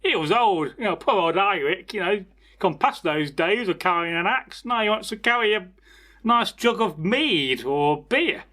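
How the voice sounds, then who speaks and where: A man's voice: sits high, lively intonation, moderate pace, pushed and bright. A man telling a folktale informally as a storyteller, chatty and rambling, with asides to his listeners. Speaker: storyteller, channel host.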